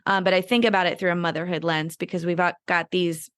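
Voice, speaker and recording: A young woman hosting a podcast, thinking aloud in a reflective way and reaching for words with "you know" and "like". Her voice sits fairly low and sounds dry and close. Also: flat delivery, quick pace, fairly bright tone, no room sound. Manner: reflective, searching for words with fillers. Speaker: podcast host. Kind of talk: casual interview talk.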